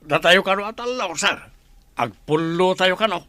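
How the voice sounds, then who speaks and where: A man's voice: high voice, normal speed, sharp tone, dry recording. A man playing a plain-spoken henchman guard in an audio drama, uneasy and deferential. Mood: reporting, deferential. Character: deferential male henchman guard, uneasy but loyal to boss. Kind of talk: Ilocano audio drama acting.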